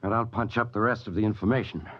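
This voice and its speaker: An actor playing a stern, strained spaceship captain, authoritative and dramatic, in the style of 1950s radio. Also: male voice, on the low side, fast talker, noisy background, close to an everyday voice. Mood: businesslike. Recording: dull old radio recording.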